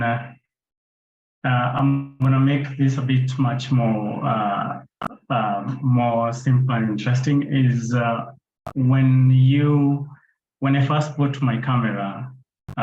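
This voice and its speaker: A man giving an informal online presentation as a guest speaker, in a halting, hesitant manner. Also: medium pitch, darkish tone, a little hiss or hum. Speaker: guest speaker, photographer and ecologist. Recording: roomy, dull lo-fi online call.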